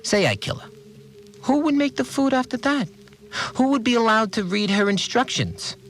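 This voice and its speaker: An actor playing an obsessive, resentful cleaner confiding in a dramatic audio diary, agitated and brooding. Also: male voice, very high-pitched, lively intonation, moderate pace, fairly bright tone, faint music behind, full character voice. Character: obsessive, unhinged male cleaner, seething with murderous resentment. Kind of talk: dramatic first-person audio diary monologue.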